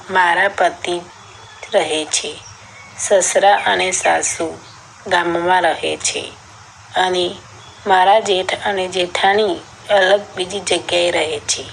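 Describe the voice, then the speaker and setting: A woman's voice: fairly low pitch, gravelly, slight background noise, low-quality recording. A woman reading a scripted first-person story as a narrator.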